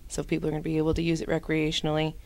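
Low-pitched young woman giving opinions. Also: completely flat delivery, racing, darkish tone, slight background noise. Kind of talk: casual opinion interview. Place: indoor room with brick wall. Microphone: handheld microphone held out by interviewer.

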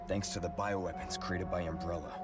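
An actor playing a haunted former cop turned government agent, speaking in a low, weary, brooding voice in a video game. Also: male voice, fairly even intonation, brisk, close to an everyday voice. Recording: game audio under loud music.

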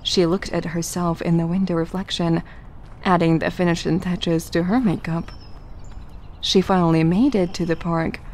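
A woman's voice: fairly low pitch, fairly even intonation, normal speed, a little mellow, a little hiss or hum. A woman giving scripted third-person narration for an audio drama.

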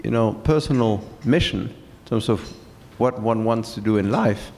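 A middle-aged man from France, speaking as a guest on stage in a large hall, pausing with many fillers. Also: lowish voice, a little mellow, slight background noise. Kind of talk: onstage conversation. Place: large event hall with seated audience. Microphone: handheld mic.

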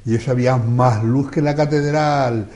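A male TV host chatting on a studio set in a lively, joking way. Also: mid-range voice, moderate pace. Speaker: TV show host. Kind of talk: casual studio chat.

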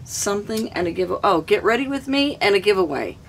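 Hoarse older woman, chatty and gravelly. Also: mid-range voice, lively intonation, fairly quick, slight background noise. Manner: chatty, homey, rambling. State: hoarse, throat trouble. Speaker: cooking vlogger. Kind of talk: casual cooking vlog. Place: small camper kitchen and lounge.

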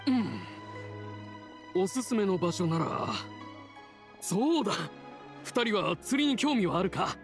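Animated, earnest boat captain. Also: male voice, high-pitched, takes it slowly, pauses often, faint music behind, exaggerated voice. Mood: friendly. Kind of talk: Japanese game voice acting.

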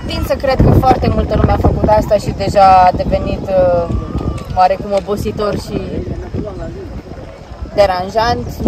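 A woman chatting casually as a travel vlogger, recorded outdoors. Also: medium pitch. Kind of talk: casual travel vlog chat. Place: outdoors near a jungle railway bridge. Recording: noisy outdoor recording with insects, traffic.

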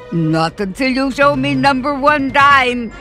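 An actress playing a scheming, gloating duck sorceress in a cartoon game, theatrical, with a put-on Eastern European accent. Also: female voice, high voice, expressive, slow, over music. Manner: theatrical, cackling villain delivery.

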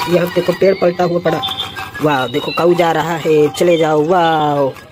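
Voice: mid-range voice, a little mellow. A host narrating toy play for children in a playful, exclamatory way, full of repeated little cries of wonder. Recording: very noisy background.

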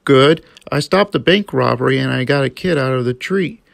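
A woman voicing a gruff, self-conscious male cyborg rabbit uncle in an amateur cartoon, terse and reluctant. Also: a bass voice, normal speed, no room sound, clean studio sound, voice pushed down, exaggerated voice. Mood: casual. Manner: terse, reluctant, flat-toned.

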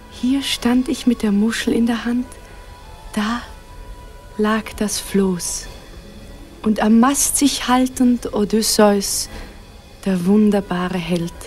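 An actress playing an immortal sea nymph, stately and lovelorn, solemn with hushed phrases, in a radio play. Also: female voice, mid-range voice, natural voice. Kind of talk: German radio play acting.

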